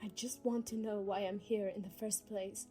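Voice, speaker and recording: A woman playing a gentle, sheltered young vampire daughter in an ASMR audio roleplay, soft and given to whispering. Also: mid-range voice, flat delivery, moderate pace, faint music behind, close to an everyday voice. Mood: pleading, frustrated.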